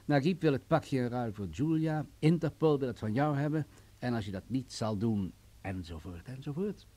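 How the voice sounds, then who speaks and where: An actor playing a menacing, wealthy crime boss in a radio play, coolly sadistic, condescending and mocking. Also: male voice, mid-range voice, lively intonation, normal speed, warm tone, lightly acted. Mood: cool, commanding. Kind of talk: vintage Dutch radio play acting.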